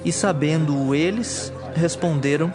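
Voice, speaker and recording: Higher-voiced male Bible narrator. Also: measured pace. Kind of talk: scripted dramatized Bible narration. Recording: clear music bed behind.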